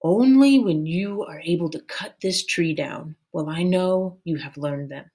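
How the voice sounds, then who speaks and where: A woman's voice: lowish voice, measured pace, dark tone, slightly rough. Woman reading as a solemn old immortal master. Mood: stern patience. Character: wise, reluctant old male immortal teacher.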